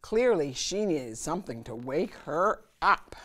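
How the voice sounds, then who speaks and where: A woman hosting a children's story time, reading aloud and pausing to chat with and question her young listeners. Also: low-pitched, sing-song, fairly bright tone. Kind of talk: animated read-aloud of a picture book for children.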